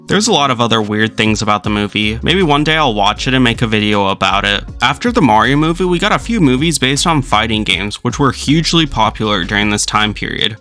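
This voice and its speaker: Bright-voiced man narrating a video essay. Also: medium pitch.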